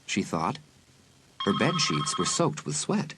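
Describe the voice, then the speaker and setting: A man narrating a suspenseful dramatised audiobook in a measured way with dramatic pauses. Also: fairly low pitch, big pitch swings, background noise. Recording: loud music behind.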